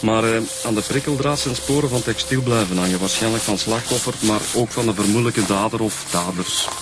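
An actor with a Flemish accent playing a matter-of-fact homicide detective in a naturalistic radio drama, reporting with small hesitations. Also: male voice, fairly low pitch, fairly quick, noisy background, natural voice. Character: male homicide detective, matter-of-fact, briefing his colleagues. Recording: music behind the voice.

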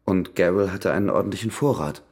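An actor playing a guarded, evasive ex-convict husband in a radio crime drama, terse, with a husky voice. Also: male voice, low-pitched, fairly quick, darkish tone. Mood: evasive confession.

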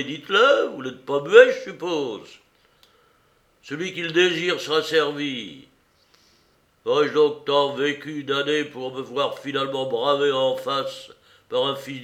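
A menacing old pirate captain who barks down his crew in a loud, pushed-up voice, as a male reader puts him on. He is commanding, threatening and full of swagger, in a storybook telling. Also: mid-range voice, voice pushed up, lightly acted.

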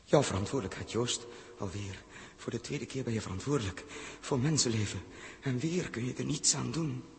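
A man playing an anguished, weary but commanding spaceship captain in a 1960s Dutch radio drama, speaking Netherlands Dutch with halting intensity. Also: medium pitch, a little mellow, slight background noise, close to an everyday voice. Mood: heavy, resigned.

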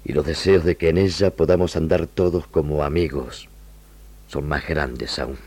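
Low-voiced earnest army lieutenant. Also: male voice, fairly even intonation, a little mellow, breathy edge, no room sound, full character voice. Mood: earnest, sober. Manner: earnest, measured formal phrasing. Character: honorable army lieutenant, earnest, humane and sober. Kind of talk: radio drama acting.

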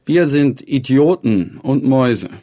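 A man playing a ruined, jobless father in a radio drama, bitter and resigned, reciting deliberately like a litany. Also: medium pitch, animated, dark tone. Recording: music behind the voice. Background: music.

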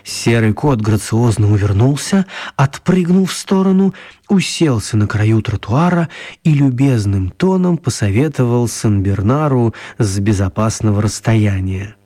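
A man narrating a children's radio play as an expressive storyteller, with theatrical, deliberate phrasing. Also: on the low side, no room sound.

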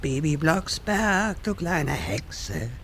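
A man playing a theatrical, secretive quest-giver in a comedic audio drama parody, speaking in a ceremonious, deliberate way. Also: on the high side, very animated, a bit bright, slight background noise, heavily acted. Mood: singsong.

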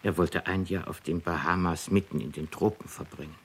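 A deep, husky village headman. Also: fairly even intonation, brisk, fairly bright tone, heavily acted. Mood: sober. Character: island village headman, sober cautious official witness. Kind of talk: radio play acting.

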